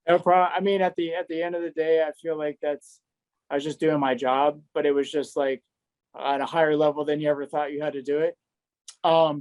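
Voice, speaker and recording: A man's voice: mid-range voice, darkish tone, no room sound, low-quality recording. Man recounting events, dry close sound. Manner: informal, quotes dialogue as he retells. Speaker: air traffic controller recounting an incident. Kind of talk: conversational first-person recounting in an interview.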